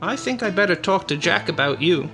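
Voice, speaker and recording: An actor in a radio play as a brisk, placating man who soothes and dismisses fears. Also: high-pitched, lively intonation, music in the background. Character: reassuring, dismissive man calming a frightened woman.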